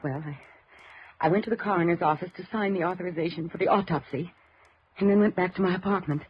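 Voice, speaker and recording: An American woman playing an anxious, insistent daughter in a 1950s radio drama, halting, breathy and pleading. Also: fairly low pitch, a little hiss or hum, lightly acted. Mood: anxious, shaken. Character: frightened, insistent daughter suspecting her stepmother of murder. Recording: muffled vintage radio recording.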